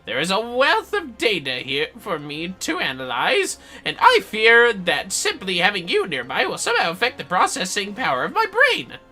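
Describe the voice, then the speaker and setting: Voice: medium pitch, wildly swooping pitch, normal speed, hardly any pauses, bright, forceful tone, voice pushed up, full character voice. A game streamer voicing a pompous, self-important male inventor from a fantasy game, with haughty, florid, dismissive delivery. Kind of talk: theatrical read-out of game dialogue.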